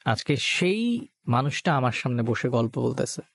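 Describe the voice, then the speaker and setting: A man's voice: mid-range voice, big pitch swings, normal speed, vocal fry, no echo. A man with a Bangladeshi accent hosting a radio show, speaking earnestly to listeners in emphatic appeals and mixing in English words. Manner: code-mixes English words into speech. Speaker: radio show host and presenter.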